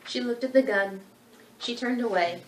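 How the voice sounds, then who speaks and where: A woman's voice: fairly high pitch, normal speed. A woman reading scripted literary narration as the narrator of a dramatic story. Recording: roomy sound with faint background noise.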